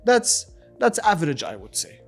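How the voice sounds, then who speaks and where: A man's voice: high-pitched, huge pitch swings, normal speed. Man explaining over faint music. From Lebanon. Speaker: interview guest, plastic surgeon. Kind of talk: conversational podcast interview.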